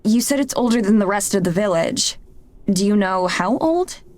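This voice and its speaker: A woman's voice: medium pitch, animated, normal speed, darkish tone, dry recording, natural voice. A woman playing a cautious, skeptical young film crew member in a visual novel, pointed and wary in delivery. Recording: music behind the voice.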